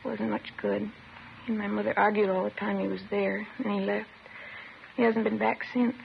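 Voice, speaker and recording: An actress playing a shaken, bitter teenage girl in a 1950s radio drama, halting and subdued. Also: mid-range voice, flat delivery, vocal fry. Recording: muffled, slightly noisy old radio recording.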